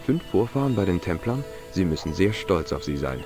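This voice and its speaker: Adventure game lead: easygoing young investigator, low register, conversational, dry wit, over prominent music. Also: male voice, very animated, brisk. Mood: curious, probing. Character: easygoing, curious young male amateur investigator. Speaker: game protagonist and narrator. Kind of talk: adventure game voice acting, German dub.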